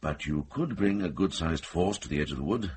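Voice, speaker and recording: An actor playing a wise, determined middle-aged monk and former soldier in a radio drama, measured, thoughtful and dryly understated. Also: male voice, sits low, lively intonation, darkish tone, a little hiss or hum. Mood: urgent, strategic.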